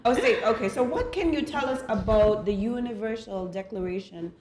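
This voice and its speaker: A woman's voice: a little high, lively intonation, moderate pace, a little mellow. A woman co-hosting a morning show, interviewing a guest in a chatty, curious way. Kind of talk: casual interviewing. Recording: echoey room.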